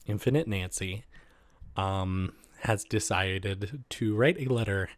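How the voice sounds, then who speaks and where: A man chatting casually as a video host, halting and amused while presenting readings. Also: mid-range voice, dry recording. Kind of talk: casual vlog chat with read-aloud.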